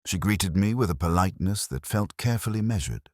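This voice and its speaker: A man narrating a scripted first-person detective story in a measured, literary, reflective way. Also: low voice, expressive, a little mellow, dry recording, studio quality.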